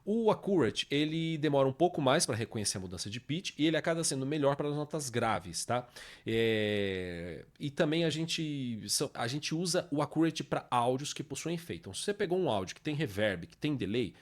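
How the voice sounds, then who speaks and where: A man's voice: medium pitch, lively intonation, a little fast. Brazilian man giving an informal tutorial. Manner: frequent checking tags after statements. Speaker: tutorial presenter, music production teacher. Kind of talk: informal screen tutorial, explaining step by step.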